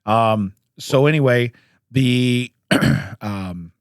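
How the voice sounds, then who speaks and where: A man, a podcast co-host, telling odd news stories in a casual, jokey way with chuckles and throat-clearing. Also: medium pitch, extremely slow, dry recording, studio quality.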